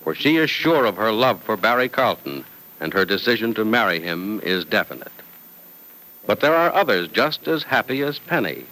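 A man announcing and narrating an old radio serial in a polished, deliberate, dramatic scripted style. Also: fairly low pitch, big pitch swings, bright, forceful tone, low-quality recording. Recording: old radio broadcast recording.

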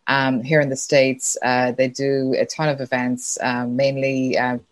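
A woman's voice: very deep voice, little pitch movement, a little slow, dry recording. A middle-aged Irish woman with an Irish accent, chatting casually as a podcast guest, laughing often and using lots of fillers. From Ireland. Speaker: podcast guest, sponsorship consultant. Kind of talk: casual interview chat.

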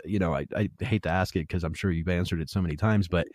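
Halting man in his thirties, low voice. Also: dry recording, clean studio sound. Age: 30s. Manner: halting, repeats words often, friendly. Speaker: youtube channel host, interviewer. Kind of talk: casual interview chat.

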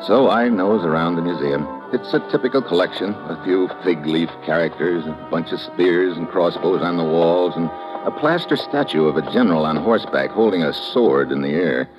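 A sardonic American male investigator, telling and acting an old radio adventure. His voice is deep and gravel-edged yet lively, clipped and unflappable, on a muffled vintage recording. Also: moderate pace, music in the background. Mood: tense narrating. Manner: laconic, sardonic, clipped present-tense storytelling.

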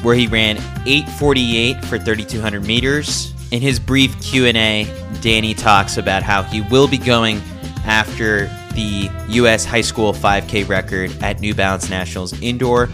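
A man's voice: on the low side, fairly even intonation, measured pace, music in the background. An American man narrating a scripted sports newsletter as a podcast host.

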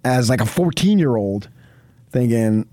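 A man's voice: mid-range voice, animated, a little slow, mellow, no echo. New Jersey man talking sports, close and dry. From New Jersey, later Arizona. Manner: rambling, conversational, emphatic. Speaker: sports radio host. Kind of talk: unscripted sports talk.

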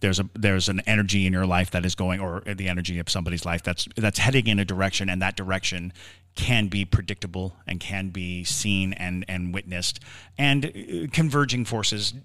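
A man co-hosting a podcast, explaining things conversationally in a halting, reflective way. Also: low voice, a bit bright. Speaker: podcast host. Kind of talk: conversational podcast discussion of the paranormal.

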